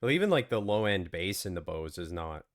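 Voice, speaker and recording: A man co-hosting a podcast in casual chat, his voice hoarse from being sick, riffing in a laid-back way. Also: deep voice, big pitch swings, fairly quick, dry recording, studio quality. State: hoarse from illness. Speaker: podcast co-host.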